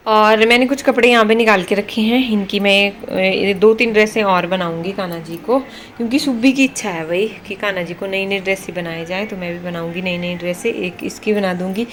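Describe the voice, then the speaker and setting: A woman in her thirties from Himachal Pradesh, chatting casually in a home vlog as a mother talking about her household and her kids. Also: medium pitch. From Himachal Pradesh, India. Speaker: vlogger, mother. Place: home indoors. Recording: noisy home recording.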